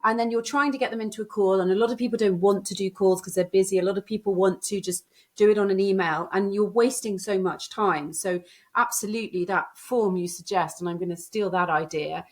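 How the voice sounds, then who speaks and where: A woman's voice: medium pitch, steady tone, brisk, darkish tone. A woman in her fifties who hosts a podcast, chatting easily with a guest over a video call. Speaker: podcast host. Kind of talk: casual interview chat. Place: home room.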